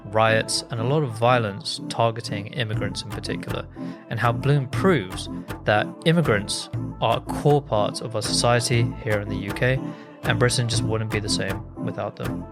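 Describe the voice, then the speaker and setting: A man's voice: fairly low pitch, moderate pace, music in the background. A man hosting a podcast interview, friendly and affirming, laughing often with his guest. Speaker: podcast host. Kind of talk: conversational interview.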